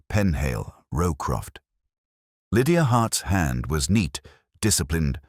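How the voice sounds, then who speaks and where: Very deep-voiced man narrating a detective story. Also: dry recording, studio quality. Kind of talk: scripted first-person literary detective narration.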